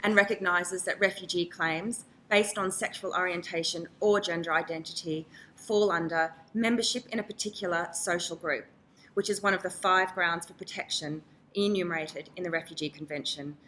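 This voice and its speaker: Female nurse presenter, bright forceful voice, personable storytelling to an audience, noticeable background noise. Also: lowish voice, fairly even intonation, moderate pace, poor audio. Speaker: nurse, panel interviewer. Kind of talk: prepared talk to an audience. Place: event room with an audience.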